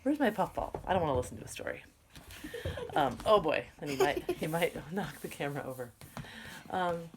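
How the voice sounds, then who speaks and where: A woman's voice: low voice, darkish tone, a little hiss or hum. A woman teacher reading a story aloud expressively, with casual, instructional asides to her students.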